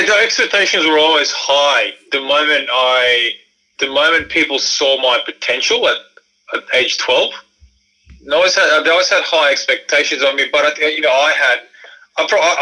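Aussie guy chatting on a podcast, really bright sharp voice, candid, doubles back on words. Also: on the high side, moderate pace, low-quality recording. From Australia, born in Croatia. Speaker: podcast guest, former professional basketball player. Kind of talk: conversational interview storytelling.

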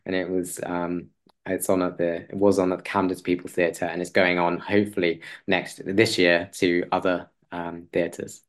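Low-pitched, halting man in conversation. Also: flat delivery. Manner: hesitant, halting, rephrasing as he goes. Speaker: interview guest, playwright. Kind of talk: conversational podcast interview.

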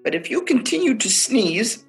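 A woman's voice: a little high, sing-song, moderate pace, faint music behind, low-quality recording. An actress playing a fussy, anxious germophobic woman in a radio play, with precise, prissy, easily exasperated delivery. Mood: irritated, fussy. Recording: home-recorded virtual radio play.

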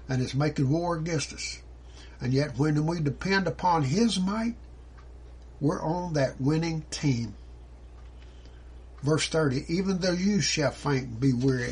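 A man with a very husky, somewhat high voice gives an informal Bible teaching. He reads verses and then explains them in a folksy, conversational manner, with rhetorical asides. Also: a little mellow. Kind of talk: informal sermon, reading and explaining scripture verses.

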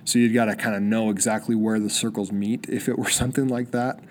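A man's voice: lowish voice, steady tone, a little fast, slight background noise. A young man hosting a solo podcast, sniffly with a cold, rambling eagerly with many restarts. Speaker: podcast host. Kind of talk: solo casual podcast monologue.

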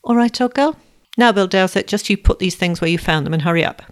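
A woman's voice: mid-range voice, brisk, clear, resonant tone, close to an everyday voice. Woman reading as a storybook young man, working-class, protective, terse, firm, tender sweetheart.

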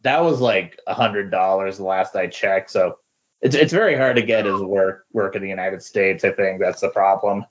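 A man with a fairly low voice talks casually about comics as a podcast co-host. He is halting, often repeating words as he thinks. The sound is a little dull and lo-fi. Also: a little hiss or hum. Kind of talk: casual comic book discussion. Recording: dull lo-fi voice recording.

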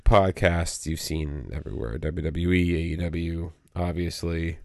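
Brief: deep, slightly nasal male co-host, casual rambling delivery, dry close sound. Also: fairly even intonation, measured pace, gravelly, no echo. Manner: rambling, full of you know and um. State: a little nasal from allergies. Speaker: podcast co-host. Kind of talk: casual podcast chat. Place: small home studio.

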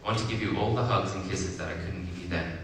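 Tender parent rabbit for a storybook, voiced by a man, deep, gentle and patient, distant and echoey. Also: quick pace, lightly acted. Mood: loving, reassuring. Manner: soft, tender. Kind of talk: storybook read aloud. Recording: echoey hall, distant mic, faint noise.

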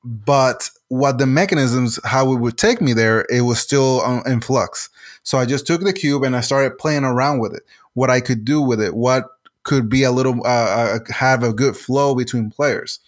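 A man's voice: medium pitch, moderate pace. A man from Puerto Rico, a native Spanish speaker, answering interview questions as a game designer with many restarts and fillers.

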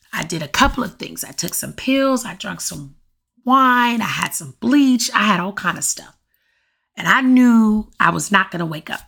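A middle-aged woman hosts her own podcast, speaking straight to her listeners in a motivational monologue. Her voice has a gravelly, raspy edge, and she drives her points home by repeating questions with emphasis. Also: medium pitch, a bit bright. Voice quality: raspy at times. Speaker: podcast host.

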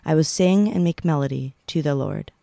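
Creaky, mid-pitched voice reading scripture. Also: normal speed, dark tone, no room sound, studio quality. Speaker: guest scripture reader. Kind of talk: solemn reading of a Bible psalm.

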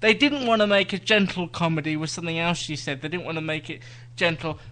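A man's voice: fairly high pitch, quick pace, sharp tone. A man co-hosting a live TV podcast, reviewing shows in casual chat with dry teasing asides.

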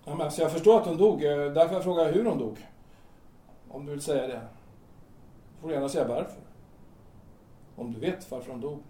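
In a roomy, slightly distant recording, a male actor plays a calm carpenter with a mellow, fairly high male voice. He is persistent and blunt as he questions, in a natural audio drama style. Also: long pauses, natural voice. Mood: insistent, earnest. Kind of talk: naturalistic radio drama dialogue.

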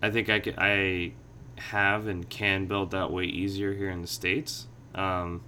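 A man with a lowish voice and a bright, crisp edge to it. He talks in a soft-spoken, reflective way, circling around his thoughts in relaxed podcast conversation. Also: unhurried, a little hiss or hum. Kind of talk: casual podcast chat.

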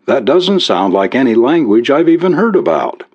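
A man voicing a brisk, plain-spoken and skeptical American police officer. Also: mid-range voice, sing-song, a little mellow, husky, muffled recording, voice pushed up.

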